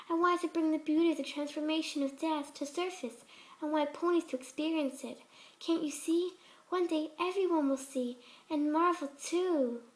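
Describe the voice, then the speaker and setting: Female reader as twisted pony surgeon for a horror story: very high, gentle, cooing, serenely mad. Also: natural voice. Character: sweet, soft-spoken, twisted female pegasus pony surgeon.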